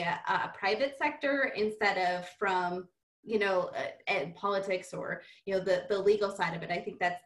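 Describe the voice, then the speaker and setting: Enthusiastic woman host, rambling, fillers, mid pitch, roomy echo. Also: a bit bright. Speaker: interview host. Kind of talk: casual interview chat. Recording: echoey room.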